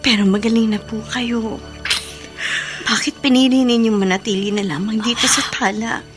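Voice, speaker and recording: An actress playing a respectful, earnest younger niece in a Filipino radio drama, polite and deferential. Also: female voice, fairly high pitch, steady tone, moderate pace, music in the background, lightly acted.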